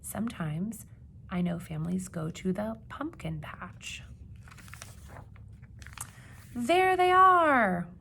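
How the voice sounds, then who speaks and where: A woman reading a children's picture book aloud like a teacher, with expressive emphasis and questions put to young listeners. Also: medium pitch, vocal fry, a little hiss or hum. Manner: expressive, dramatic gasps and emphasis, pausing to ask questions.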